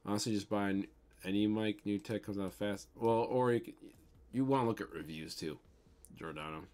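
A man's voice: on the low side, normal speed, a little mellow. A young man with a Midwestern accent, chatting loosely on a livestream from his bedroom and answering viewer comments, with lots of ums and casual swearing. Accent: Midwestern American. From American Midwest. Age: young adult. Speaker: toy unboxing livestream host. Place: bedroom, indoors.

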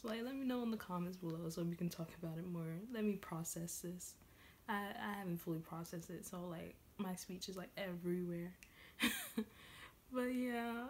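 A woman in her twenties chatting casually and excitedly as a reaction video host, halting and leaning often on "like". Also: mid-range voice. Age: 20s. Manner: excited, gushing; halting, repeats "like" heavily. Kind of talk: casual reaction vlog chat. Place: small room at home, indoors. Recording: clearly noisy home room recording.

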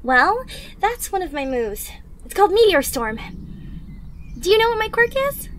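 A woman's voice: very high voice, very animated, moderate pace, a bit bright, a little hiss or hum, full character voice. A woman playing an upbeat, encouraging teenage girl superhero trainee in an anime fan roleplay, coaching the listener directly in a bubbly manner. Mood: encouraging, explaining. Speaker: audio roleplay performer.

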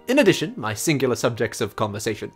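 A snooty, roguish French gentleman thief, as a man reads him out, grand and full of himself. The delivery is lively and swings in pitch. Faint music plays behind. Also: quick pace, slightly rough. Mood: haughty. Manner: grandiose, mock-French reading of a letter. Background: game music.

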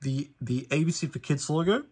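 A young man talks through a DVD review in a casual, chatty way, rattling off facts with fillers and quick self-corrections. His voice sits a little on the high side. It sounds like a phone recording in a small living room. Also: a little mellow, breathy edge. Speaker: DVD collector reviewing a disc. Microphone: phone camera held over the disc.

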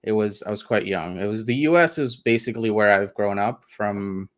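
A man from India, a guest on a video call interview from home, speaking in a relaxed, thoughtful way with lots of hedges. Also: fairly low pitch, moderate pace. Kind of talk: casual interview.

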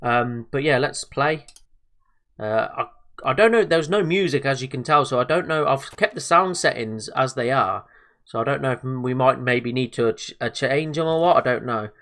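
A man's voice: mid-range voice, lively intonation, moderate pace, a bit bright. A man with a slightly stuffed-up voice from a cold, hosting casual let's-play commentary in a chatty, hesitant way. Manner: chatty, hesitant, lots of fillers and self-talk. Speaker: gaming video host.

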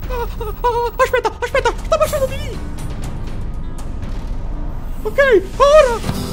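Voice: very high voice, slow, faint music behind. An actor voicing a timid, anxious animatronic fox in a parody cartoon, repeating words in nervous bursts. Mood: panicked.

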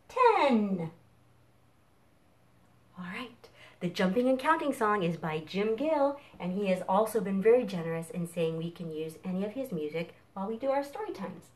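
Animated middle-aged woman leading children's storytime. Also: mid-range voice, a little slow, long pauses. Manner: animated, encouraging, simple instructions to children. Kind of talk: interactive storytime for young children, with chants and counting. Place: home kitchen, indoors. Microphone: camera a few feet away.

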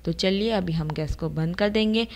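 A woman's voice: fairly low pitch, normal speed, a little mellow. A woman hosting a cooking channel, narrating a recipe step by step and addressing viewers directly in an instructional way.

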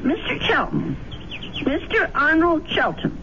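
A woman's voice: high-pitched, wildly swooping pitch, measured pace, a little hiss or hum, heavily acted. An actress playing an anxious, kindly older landlady who muses fretfully in a 1970s radio drama. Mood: worried, confiding. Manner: fretful, musing aloud. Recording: dull vintage radio recording, loud music.

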